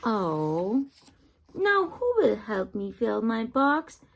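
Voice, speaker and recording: A woman's voice: fairly high pitch, very animated, drawn-out words, vocal fry, heavily acted. A woman voicing an eager little girl in a children's storybook, with simple, wondering phrasing. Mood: dismayed.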